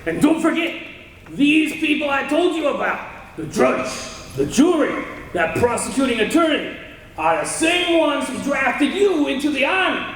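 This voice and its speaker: A man's voice: extremely high pitch, sing-song, measured pace, fairly bright tone, a little hiss or hum, full character voice. An actor playing a condemned male killer in a radio drama, talking tough gangster slang, tense and scheming. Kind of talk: 1930s radio drama acting. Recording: echoey old radio recording from far off.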